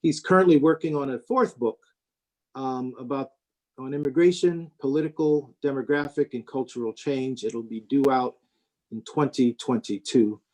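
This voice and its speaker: Man, host, informal spoken introduction, halting delivery, pauses between phrases. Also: medium pitch, darkish tone. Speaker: host introducing a guest speaker.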